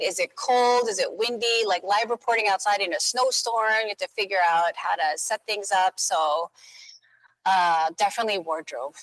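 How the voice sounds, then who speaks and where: A woman's voice: medium pitch, a bit bright, low-quality recording. A woman radio host moderating a panel chat, light, chatty and self-mocking.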